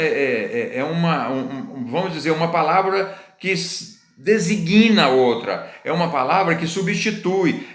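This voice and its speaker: A man's voice: on the high side, fairly bright tone. A middle-aged Brazilian man preaching in an informal, conversational way, repeating phrases and asking his listeners rhetorical questions. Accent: Brazilian Portuguese. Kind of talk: informal sermon teaching. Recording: roomy echo.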